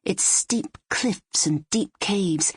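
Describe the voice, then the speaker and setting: A Scottish woman reading literary narration for an audiobook in a measured, descriptive manner. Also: medium pitch, breathy edge, dry recording.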